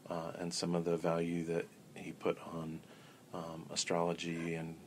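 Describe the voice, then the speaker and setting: A man answering interview questions in a measured way, pausing often with ums and uhs. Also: sits low, monotone, slight background noise. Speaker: interview guest on a pagan tradition. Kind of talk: thoughtful casual interview answers.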